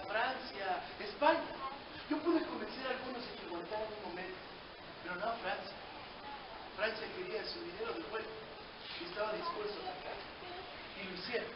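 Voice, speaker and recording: A man's voice: high-pitched, very noisy, close to an everyday voice. A man playing a solemn Mexican president. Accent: Mexican Spanish. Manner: solemn, declamatory storytelling to children. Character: dignified male 19th-century president recounting his life. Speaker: costumed historical reenactor. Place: large echoey room in a historic house. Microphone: far camera, no mic. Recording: distant echoey lo-fi camcorder audio. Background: murmuring children, room ambience.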